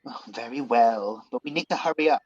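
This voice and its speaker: An actor playing a scheming, smooth-talking villain who poses as a soothing conscience in a radio pantomime. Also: male voice, medium pitch, a little fast, highs cut off, lightly acted. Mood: persuasive, urging. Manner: smooth-talking, persuasive, insinuating.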